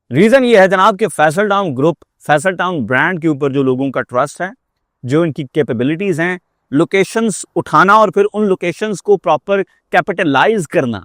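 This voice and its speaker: A man's voice: a little high, fairly bright tone, no echo, studio quality. A man with a Pakistani accent giving a persuasive market update as a real estate marketer, explaining emphatically and dropping in English phrases.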